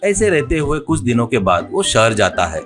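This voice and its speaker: A man's voice: medium pitch, very animated, normal speed, a bit bright, faint music behind. A man telling a scripted folk-style moral story as narrator.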